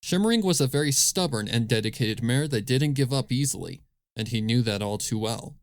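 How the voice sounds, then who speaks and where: A man's voice: medium pitch, animated, fairly quick, no echo, clean studio sound. A man reading scripted fan fiction as the narrator of a story.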